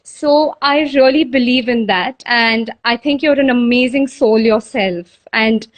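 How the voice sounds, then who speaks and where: A woman hosting a casual live interview, effusive and complimentary, laughing often between questions. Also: high voice. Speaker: interviewer, host.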